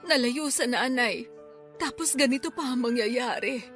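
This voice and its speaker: A woman's voice: on the high side, unhurried, fairly bright tone, soft background music. An actress playing a tearful, grieving elderly grandmother in a Filipino-accented Tagalog radio drama. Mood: sorrowful, worried. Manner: tearful, emotional delivery.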